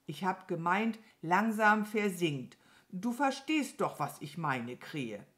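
A woman voicing a gentle, affectionate, dreamy cow in a children's storybook, with a mild, mooing manner. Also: on the low side, sing-song, a little slow. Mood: insistent.

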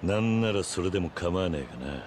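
A man voicing a stoic, gruff middle-aged ex-yakuza in a video game cutscene, speaking in terse, stern phrases. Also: low voice, expressive, brisk, a little mellow, slight background noise. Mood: stern, guarded.

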